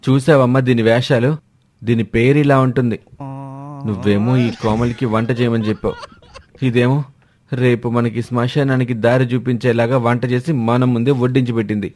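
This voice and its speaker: A man's voice: medium pitch, steady tone, normal speed, a little mellow, a little hiss or hum, natural voice. A man voicing a sarcastic grown son who mocks his wife. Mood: mocking. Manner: sarcastic, mocking.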